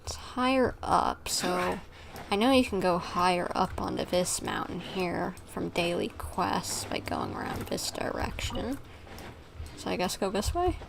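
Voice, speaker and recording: A woman giving casual livestream commentary on a game, musing and thinking out loud. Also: on the low side, huge pitch swings, unhurried, vocal fry, slight background noise.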